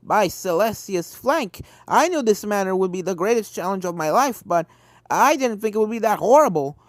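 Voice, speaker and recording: A woman's voice: medium pitch, expressive, normal speed, no room sound, natural voice. A woman voicing a brave, kindly old male unicorn ghost hunter in a story reading, hearty and reassuring, with music behind. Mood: weary relief. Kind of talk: fan fiction story reading.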